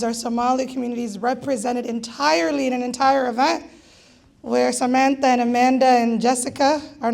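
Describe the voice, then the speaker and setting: A woman with a raspy, gravelly voice pitched fairly high. She emcees a live gala and calls out raffle numbers, teasing the crowd with playful jokes between draws. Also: a little mellow. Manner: playful, teasing, jokey asides. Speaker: event host calling raffle draws.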